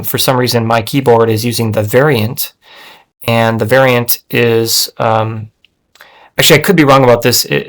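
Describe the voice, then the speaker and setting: A man demoing his language-learning app on screen, talking in a casual, thinking-aloud way with lots of fillers and restarts. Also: mid-range voice. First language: English. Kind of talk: casual screen-share software demo.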